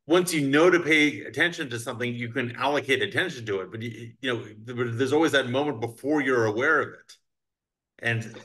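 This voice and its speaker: A man in his thirties hosts an interview over a webcam, talking in a chatty way that is full of restarts and fillers. His voice is bright and forceful, at a middle pitch. He sounds like he is at a desk in an office. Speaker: host, crypto attorney. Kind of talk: casual video call interview. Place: bright office with large windows. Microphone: video call webcam.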